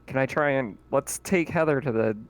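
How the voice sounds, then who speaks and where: A man with a mid-pitched voice plays in a tabletop roleplaying game. He talks casually, asking and planning with the rest of the table. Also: normal speed.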